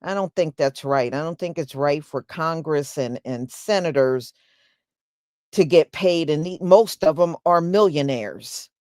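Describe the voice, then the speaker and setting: An American woman commentator speaks at a slow pace in a dry, close recording. Her delivery is colloquial and emphatic, addressed straight to the audience as personal opinion. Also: deep voice, a little mellow, no echo. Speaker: host commentator.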